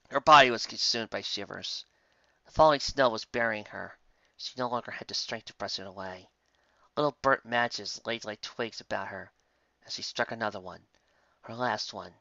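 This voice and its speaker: A man's voice: fairly low pitch, gravelly, bright, forceful tone, no room sound, muffled recording. A man narrating a story in a dramatic, scripted reading style. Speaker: fan fiction reader.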